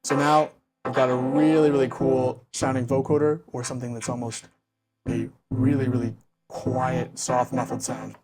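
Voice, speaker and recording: A man's voice: medium pitch, little pitch movement, moderate pace, background noise. An enthusiastic, chatty man hosting a casual synth walkthrough, speaking close into a studio condenser mic. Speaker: host of synth demo channel.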